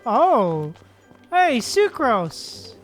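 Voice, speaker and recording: A woman streaming a video game, reacting casually and fondly with giggles and drawn-out little exclamations. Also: on the high side, big pitch swings, faint music behind.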